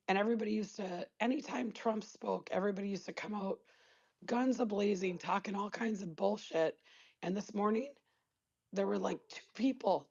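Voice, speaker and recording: Hoarse woman caller, blunt. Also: mid-range voice, darkish tone, highs cut off. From Wisconsin. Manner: blunt, casual, rambling. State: losing her voice.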